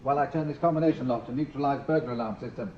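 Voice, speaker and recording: A man's voice: mid-range voice, racing, lightly acted. A man acting an eccentric, enthusiastic inventor in a period radio drama, lecturing proudly about his machines. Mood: brisk, proud. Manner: excitable, lecturing, boastful. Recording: dull, noisy old radio recording.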